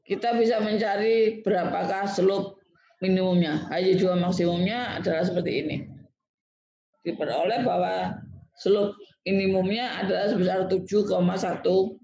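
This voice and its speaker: A lecturer with a gravelly, mellow voice teaches step by step. Points get repeated, with frequent little check-in tags. The recording is dull and full of room echo. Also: medium pitch. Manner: repetitive, checks in with a tag word after statements. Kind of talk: step-by-step technical lecture to students.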